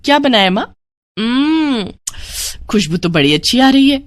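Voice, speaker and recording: A woman's voice: fairly high pitch, very animated, a little slow, no room sound, pitched above the performer's own voice. A woman playing a cheerful, sweet and eager young woman in an audio story. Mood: cheerful, coaxing.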